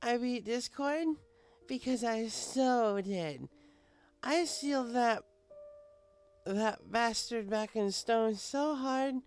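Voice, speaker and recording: A man's voice: very high-pitched, lively intonation, slow, smooth, faint music behind, pitched above the performer's own voice, heavily acted. A man voicing a drunk, giggly young female pony in a storybook, boastful and affectionate, with slurred, rambling speech. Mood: drunken boasting. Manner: drawling, rambling drunken talk. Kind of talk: audiobook character voice.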